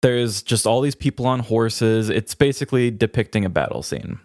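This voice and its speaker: A man's voice: fairly low pitch, very animated, normal speed, darkish tone, dry recording, studio quality. A young adult man co-hosting a podcast, chatting playfully and reading out bits of an article. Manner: playful, reads out article quotes. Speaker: podcast co-host. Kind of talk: casual comedic chat.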